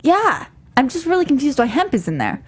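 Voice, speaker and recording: A woman's voice: high-pitched, wildly swooping pitch, brisk. A woman co-hosting a casual podcast, teasing and asking questions. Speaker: podcast co-host.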